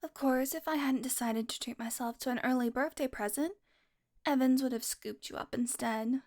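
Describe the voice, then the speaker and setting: A woman acting as a brash female vampire in an intimate audio roleplay. Her voice sits on the high side, and she talks with teasing sarcasm, swearing casually and flirting as she goes. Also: moderate pace, a little mellow. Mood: wry, wounded. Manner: sarcastic, playful, casually profane.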